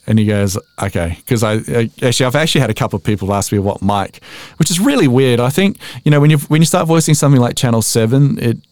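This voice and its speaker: A man's voice: mid-range voice, lively intonation, fast, darkish tone, dry recording, clean studio sound. An Australian man in his forties chatting casually as a podcast guest, self-deprecating, telling stories and acting out quotes as he goes. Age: 40s. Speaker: voice-over artist being interviewed.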